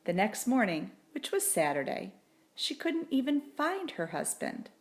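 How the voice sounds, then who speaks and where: A woman reading a children's book aloud in a deliberate, expressive storybook narration. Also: on the high side.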